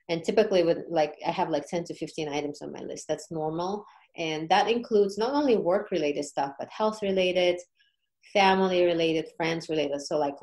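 A woman's voice: sits low, a little mellow. A woman guest on a podcast, telling her experience in a casual, informal way with frequent check-in questions. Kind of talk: casual conversational storytelling.

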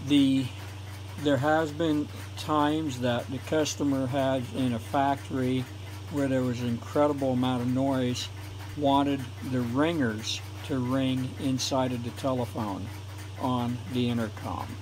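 A man, a former telephone installer, calmly explaining equipment on his workbench in a home workshop. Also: mid-range voice, a little slow, noisy background. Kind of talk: technical explanation voice-over for a hobby video.

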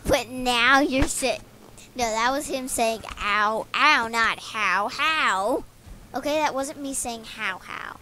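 A woman's voice: a little high, slow, pushed and bright, a little hiss or hum, poor audio. A young girl playing a video game beside her father, eagerly narrating every move she makes. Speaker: child co-player. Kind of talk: excited gameplay chatter.

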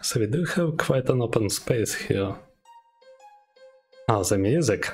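A young man with a Russian accent gives relaxed, unhurried commentary over a game he is playing. He talks at a slow, halting pace and keeps pausing to hum and think aloud. Clear game music runs underneath his voice. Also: fairly low pitch. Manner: thinking aloud with many pauses and hums. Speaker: streamer. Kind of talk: casual gameplay commentary.

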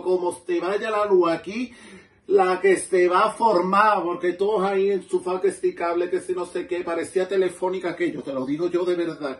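A man's voice: high voice, reverberant, distant mic, voice pushed up, lightly acted. A man playing a chatty, scatterbrained middle-aged housewife who rambles and loses her thread. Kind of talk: stand-up character comedy.

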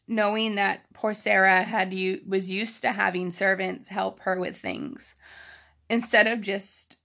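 A woman reads aloud as a storyteller for children. Her delivery is informal and expressive, and she mixes the narration with simple, chatty explanations. Her voice sits at a medium pitch. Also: vocal fry. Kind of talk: read-aloud storytelling with casual explanatory asides.

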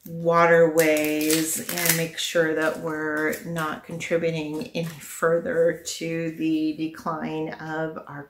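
A middle-aged woman vlogger talks casually through products, thinking aloud as she goes. Her voice sits a little low and moves at a very leisurely pace. The sound is clearly echoey, picked up by a camera mic in a living room. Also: background noise. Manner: chatty, unscripted, thinking aloud. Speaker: vlogger presenting a product haul. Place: small living room at home, indoors.

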